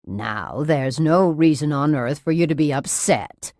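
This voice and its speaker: A woman's voice: deep voice, animated, unhurried, darkish tone, no room sound, clean studio sound. A woman reading an audiobook as a severe nurse who talks in a syrupy, falsely soothing, patronising and overly reasonable manner. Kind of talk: dramatised audiobook narration.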